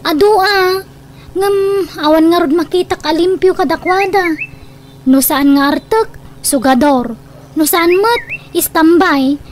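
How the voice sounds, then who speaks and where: Woman acting very high-voiced picky daughter. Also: measured pace, long pauses, slight background noise. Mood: picky, complaining. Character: picky, complaining unmarried grown daughter. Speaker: radio drama actress. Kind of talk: comedic radio drama acting in Ilocano.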